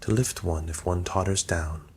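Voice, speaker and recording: A man reads a long poem aloud in a quiet, measured ASMR manner. His voice sits very low and stays calm and hushed throughout. A faint hiss runs beneath the reading. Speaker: ASMR narrator. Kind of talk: soft-spoken ASMR poetry reading.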